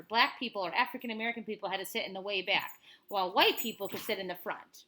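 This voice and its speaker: A woman reading a picture book aloud to children expressively, pausing to explain things simply to young listeners. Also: fairly high pitch, a bit bright, slight background noise.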